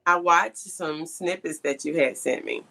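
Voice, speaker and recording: A woman's voice: sits low, measured pace, creaky, bright, forceful tone. A woman hosting a conversational interview, speaking emphatically and repeating key points for stress. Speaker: podcast host and interviewer.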